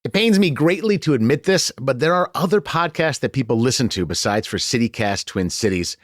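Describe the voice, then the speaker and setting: A man's voice: medium pitch, animated, a little fast, no echo, clean studio sound. An American man hosting a podcast in a chatty, conversational, playfully self-deprecating way. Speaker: podcast host.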